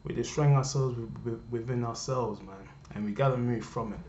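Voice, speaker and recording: A man's voice: medium pitch, brisk, a little mellow. A young man from Cameroon talking earnestly to his viewers from his living room, repeating himself for emphasis. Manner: impassioned, repetitive, addresses viewers directly. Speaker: vlogger. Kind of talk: earnest personal rant to camera. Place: living room at home, indoors. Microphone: webcam at desk. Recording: roomy, dull lo-fi webcam audio.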